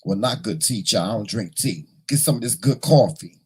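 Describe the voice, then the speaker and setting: Hoarse man hosting a folksy morning stream. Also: fairly low pitch, animated, normal speed. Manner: folksy, teasing, talks to the chat; repeats words and restarts often. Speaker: morning show host. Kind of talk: casual livestream chat with viewers.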